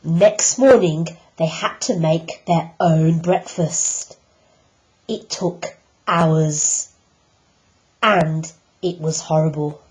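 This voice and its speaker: A woman teacher reading a picture book aloud, slow and deliberate with emphatic pauses. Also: deep voice, a little mellow, poor audio. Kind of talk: storybook read-aloud.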